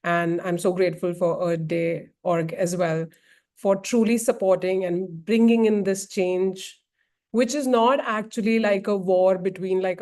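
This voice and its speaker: A woman's voice: medium pitch, darkish tone. A woman from India moderating a webinar over a video call, earnest and rambling, with frequent fillers.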